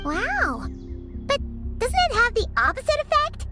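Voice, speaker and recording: A woman voicing a tiny, excitable female companion in a video game, with a squeaky, childlike voice and a blunt, curious manner. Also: over loud music, heavily acted. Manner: blunt, curious, quick to question.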